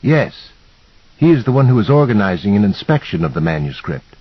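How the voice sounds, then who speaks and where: A man's voice: lowish voice, very animated, fairly quick, no room sound, dull audio. A man voicing a shy, courteous male professor with a Scandinavian accent in an audiobook, polite, formal and hesitant. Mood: puzzled, concerned.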